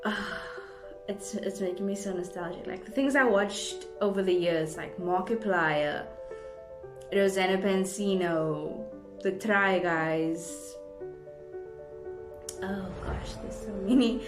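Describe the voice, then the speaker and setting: A young woman with a South African accent rambles casually to her viewers, gushing as she goes. Her voice sits at an average pitch for a woman. The room echoes noticeably around her, and music plays clearly underneath. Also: takes it slowly. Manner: effusive, gushing. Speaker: vlogger. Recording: roomy indoor echo, music underneath.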